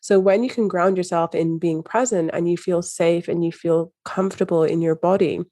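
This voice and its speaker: Calm woman with a soft Scottish accent. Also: on the low side, no echo. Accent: soft, hard-to-place Scottish. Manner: calm, measured, coaching tone. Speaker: mindset coach, podcast guest. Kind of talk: explanatory interview talk.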